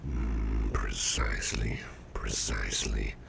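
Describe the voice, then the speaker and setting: Man acting as tired businessman boss, ASMR roleplay, slow murmured delivery, breathy gravelly near-whisper, courteous, folksy, clearly noisy background. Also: extremely low pitch, little pitch movement, heavily acted. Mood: weary, rueful. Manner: unhurried, murmured, folksy and hesitant. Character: weary, courteous businessman boss, recuperating and chatty. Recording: clearly audible background noise.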